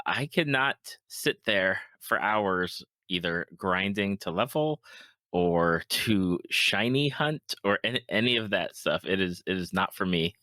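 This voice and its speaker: A man's voice: fairly low pitch, sharp tone, no room sound. A man hosting a podcast, interviewing in a casual, affirming and agreeable way. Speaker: podcast host.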